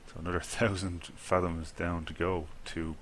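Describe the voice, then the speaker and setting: Give me a deep Irish man chatting casually through a game, faint background noise. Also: level tone, moderate pace, a bit bright. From Ireland. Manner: rambling, self-talking, chuckles at own mistakes. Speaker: gaming video creator. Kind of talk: casual gameplay commentary.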